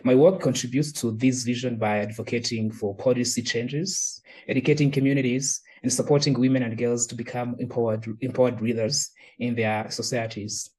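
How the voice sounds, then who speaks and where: Male advocate from Rwanda, mid-pitched, earnest and measured testimonial read with small restarts. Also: fairly even intonation, a little mellow, poor audio. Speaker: gender equality advocate. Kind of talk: personal testimonial statement.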